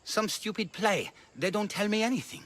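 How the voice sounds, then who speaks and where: A man's voice: sits high, normal speed, fairly bright tone. An actor playing a cowed, obedient, nervous male soldier in a video game, with a submissive manner. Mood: frightened. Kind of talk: video game cutscene acting.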